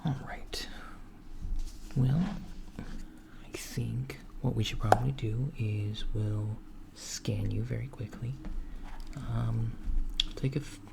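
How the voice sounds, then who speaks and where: A man voicing a calm alien doctor in a soft-spoken, half-whispered ASMR roleplay, gently asking a human patient clinical questions. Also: medium pitch, slow pace, vocal fry, pauses often, background noise. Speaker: ASMR creator.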